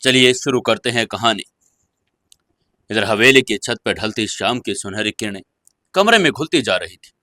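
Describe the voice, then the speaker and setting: A man's voice: fairly low pitch, lively intonation, normal speed, pauses often, bright, forceful tone, no room sound. A man narrating a scripted audio story in a dramatic storytelling style, close on the mic.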